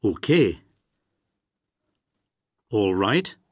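A man reading phrases for a language course, slowly and deliberately, recorded close to the mic. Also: on the low side, very animated, a little mellow, breathy edge, no echo. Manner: slow, deliberate, carefully spoken phrases.

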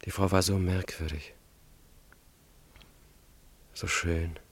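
An actor playing a brooding young student revolutionary in a radio drama, intense, deliberate and defensive. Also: male voice, deep voice, fairly even intonation, breathy edge, exaggerated voice. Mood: confiding, shaken. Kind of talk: dramatic radio play dialogue.

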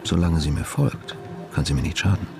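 A man's voice: very deep voice, brisk, gravelly. An actor playing a cold, calculating male impostor, terse and controlled, in a radio drama. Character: cold, calculating, menacing male stranger posing as husband. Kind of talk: radio drama inner monologue and dialogue. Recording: loud music under the voice.

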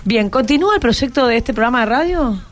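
Music plays softly under a woman hosting a radio interview. Her voice sits a little high and carries a Rioplatense Argentine accent. She chats casually and encouragingly, with plenty of short, informal questions. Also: expressive, fairly quick. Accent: Rioplatense Argentine Spanish. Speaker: radio host. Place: radio programme recording.

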